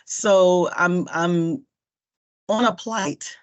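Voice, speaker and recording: A hoarse woman, a workshop participant and singer, asking a question politely and hesitantly with many fillers. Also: fairly low pitch, very slow. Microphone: video call. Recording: dull lo-fi video call audio.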